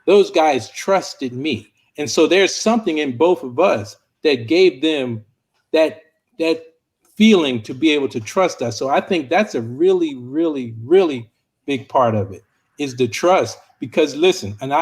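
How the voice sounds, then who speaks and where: A man speaking as a panelist in an earnest conversation, deliberate and repeating himself for emphasis. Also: a little high, lively intonation. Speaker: discussion panelist.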